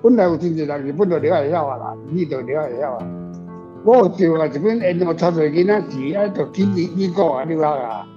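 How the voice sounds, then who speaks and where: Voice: medium pitch, animated, fairly quick, muffled recording, over music. A person with a Taiwanese accent chatting and telling stories in a rambling way, repeating phrases and tagging sentences with little questions. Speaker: guest author answering readers' questions. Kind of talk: casual storytelling discussion.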